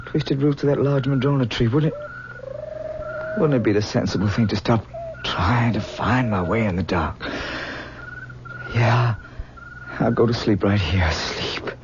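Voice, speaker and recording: Frightened young guy lost in the woods played by a man, stammers, slow, lo-fi with hiss. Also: medium pitch, close to an everyday voice. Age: young adult. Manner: halting, fearful, stammering. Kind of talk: 1970s radio drama acting. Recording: dull old radio recording with hiss.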